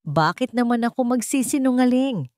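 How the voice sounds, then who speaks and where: A woman playing a scheming young man in a radio drama, speaking gently with feigned concern and a taunting edge. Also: fairly high pitch, animated, normal speed, no echo, clean studio sound, voice pushed up, natural voice. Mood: taunting, smug. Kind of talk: Tagalog radio drama storytelling.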